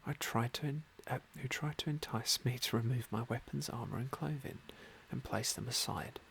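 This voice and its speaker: A man softly narrating a fantasy game as an ASMR roleplay, unhurried and with formal, genteel phrasing. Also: medium pitch, vocal fry, a little hiss or hum. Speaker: host of an ASMR game playthrough.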